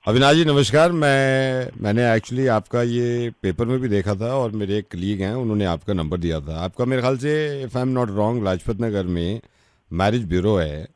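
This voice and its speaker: A man playing a polite, worried middle-aged father on a prank call, courteous, measured and confiding. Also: fairly low pitch, no room sound, studio quality, close to an everyday voice. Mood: polite, concerned.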